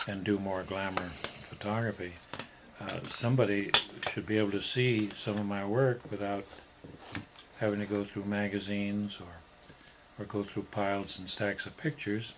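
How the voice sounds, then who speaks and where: An elderly American man reminiscing in an interview, with halting, folksy storytelling. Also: lowish voice, steady tone. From United States, Arizona. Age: 70s or older. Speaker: interviewee, veteran photographer. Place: his home studio. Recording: noisy old interview tape.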